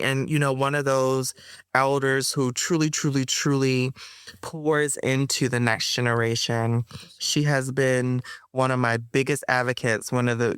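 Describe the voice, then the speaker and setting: A woman's voice: very deep voice, clean tone, dry recording, studio quality. A woman guest in an interview, speaking in a thoughtful, searching way full of "you know" and "sort of". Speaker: poet and playwright guest. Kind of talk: interview chat, then spoken-word poem.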